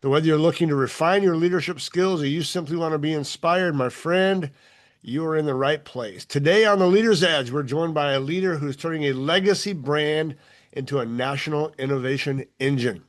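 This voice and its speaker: A man's voice: a little high, a little fast. Upbeat man hosting a leadership podcast. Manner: upbeat, polished promotional delivery. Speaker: podcast host. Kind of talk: scripted show intro and interview.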